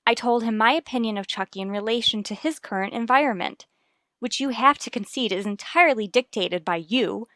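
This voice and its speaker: A woman's voice: on the high side, brisk, fairly bright tone, no room sound, clean studio sound, lightly acted. Woman playing an earnest, determined radio-soap wife. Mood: earnest, pleading. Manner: firm, reasoning, formal. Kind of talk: radio soap opera acting.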